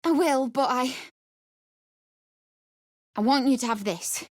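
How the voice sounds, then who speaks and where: High-voiced distraught young British widow. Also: female voice, slow pace, no echo, studio quality. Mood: subdued, resolute. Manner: emotional, tearful, pleading, prone to snapping. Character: distraught young widowed mother-to-be, desperate, bitter. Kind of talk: video game character acting.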